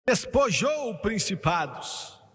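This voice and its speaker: A man preaching a sermon emphatically, slowing and rising on key phrases and joking with the congregation. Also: high voice, big pitch swings, a bit bright. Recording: long hall reverb.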